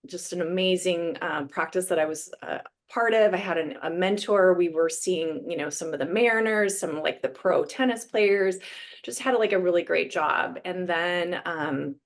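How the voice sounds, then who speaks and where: Low-voiced chatty woman in her fifties. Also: moderate pace. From Eastern Washington, USA. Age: 50s. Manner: chatty, many fillers, laughs often. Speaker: guest, dentist. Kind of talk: casual interview storytelling.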